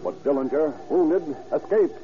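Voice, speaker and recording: A man's voice: on the high side, unhurried, over music. A man giving scripted, dramatic narration in the style of 1930s crime radio, solemn and portentous. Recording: muffled old radio recording.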